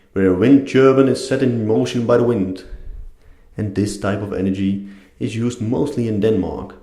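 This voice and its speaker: A man's voice: lowish voice, warm tone. A man with a non-native English accent giving a scripted educational narration. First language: not English. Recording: echoey room.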